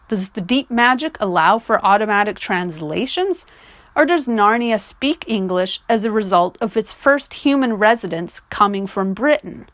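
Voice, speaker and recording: A woman's voice: mid-range voice, a bit bright, no echo. A woman delivering scripted video essay commentary in an articulate, questioning manner.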